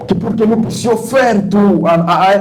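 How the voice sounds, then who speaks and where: A man preaching in a church, pausing for an interpreter, emphatic and stammering at times, with sing-song calls. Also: high voice. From Congo. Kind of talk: sermon with interpreter. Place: church hall. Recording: echoey church with congregation.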